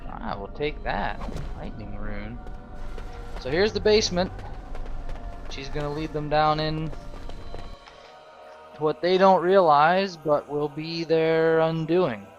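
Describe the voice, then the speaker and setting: A man improvising third-person narration over a fantasy video game, halting as he thinks aloud. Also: a little high. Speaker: role-play gamer, narrator. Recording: dull lo-fi microphone. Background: faint game music.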